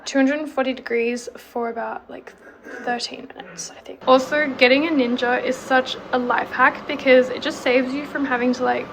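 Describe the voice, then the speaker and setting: A young woman talking casually through her cooking with a slightly hoarse, croaky voice, full of "like" fillers. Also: fairly high pitch, noisy background. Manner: chatty, lots of "like" fillers. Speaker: vlogger.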